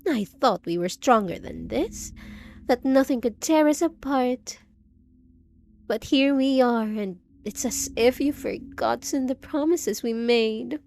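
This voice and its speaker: A woman's voice: a little high, takes it slowly, a little mellow, slight background noise. A woman voicing a possessive, unhinged undead bride in a roleplay audio drama, speaking with sweet pet names, mock pity and clingy menace. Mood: hurt, betrayed.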